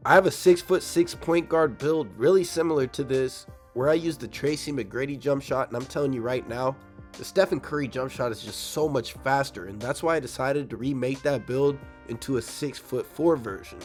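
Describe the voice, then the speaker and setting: A young man with a slightly raspy voice from a cold, casually breaking down a video game build for his viewers. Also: medium pitch, darkish tone. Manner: fast, casual, addresses viewers directly. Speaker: gaming YouTuber. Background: faint background music.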